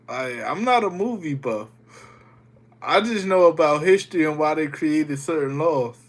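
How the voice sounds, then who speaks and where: A man's voice: on the high side, lively intonation, slow pace. A young man streaming live while getting over a cold, chatting loosely with viewers and greeting them by name. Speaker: livestreamer. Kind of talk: casual livestream chat with viewers.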